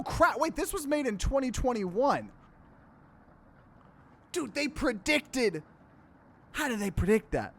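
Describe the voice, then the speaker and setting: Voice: mid-range voice, fairly bright tone. A streamer giving casual, reactive game commentary, with some swearing and talk to the viewers. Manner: reactive, profane, addresses viewers. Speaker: let's play streamer. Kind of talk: casual game commentary, reading dialogue aloud.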